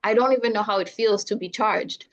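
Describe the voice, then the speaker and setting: A young adult woman from Zambia speaks as a podcast guest, with a medium-pitched voice. She talks earnestly and picks up energy when she recounts what others said to her. The recording sounds slightly dull and lo-fi. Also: brisk. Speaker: filmmaker guest. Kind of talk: podcast interview.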